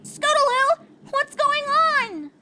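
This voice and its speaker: Squeaky, frightened little filly. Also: female voice, animated, slow, pushed and bright, slight background noise, heavily acted. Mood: frightened, shrill.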